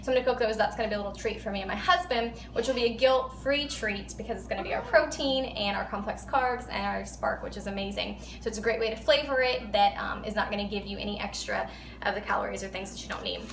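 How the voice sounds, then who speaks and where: A woman's voice: medium pitch, fast, gravelly. Chatty woman in an echoey kitchen. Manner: chatty, upbeat, many ums while showing food. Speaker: vlog host. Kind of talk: casual cooking walkthrough. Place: home kitchen, indoors. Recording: echoey kitchen, faint hum.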